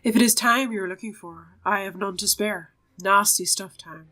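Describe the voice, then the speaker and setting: A woman's voice: medium pitch, normal speed, sharp tone, lightly acted, rough recording. A woman voicing a flamboyant male otherworldly circus showman in a game, theatrical, languid and condescending. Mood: languidly amused.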